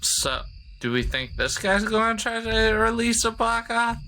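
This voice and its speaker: A man's voice: high voice, big pitch swings, unhurried, bright, forceful tone, a little hiss or hum. A man chatting casually as a player in a tabletop roleplaying game, slipping into in-character asides.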